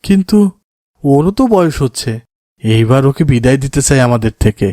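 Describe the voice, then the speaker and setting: Guy acting devout village dad in a cartoon, soft mellow voice, emotional n pleading, dry close sound. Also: medium pitch, expressive, moderate pace, dry recording, lightly acted. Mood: gentle, resolute. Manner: pleading, emotive, often invoking God. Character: anguished, devout village father of a motherless daughter.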